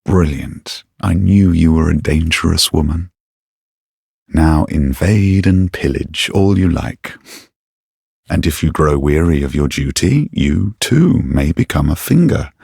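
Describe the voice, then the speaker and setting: An actor playing a sly, haughty knight-assassin in a video game, speaking in a silky, deliberate and theatrically formal manner with a gravelly edge. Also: male voice, expressive, a little mellow, dry recording, clean studio sound, full character voice. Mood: smug, conspiratorial. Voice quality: low, husky, gravelly. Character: sly, menacing, haughty knight-turned-assassin, devoted to his goddess. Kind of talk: video game character dialogue.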